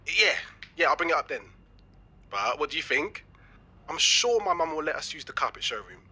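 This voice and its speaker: Bright, husky-voiced eager young British man. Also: fairly high pitch, big pitch swings, normal speed, exaggerated voice. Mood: eager, upbeat. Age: young adult. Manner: eager, chatty. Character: enthusiastic young male actor full of schemes. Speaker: actor in a fiction podcast. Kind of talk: naturalistic audio drama dialogue.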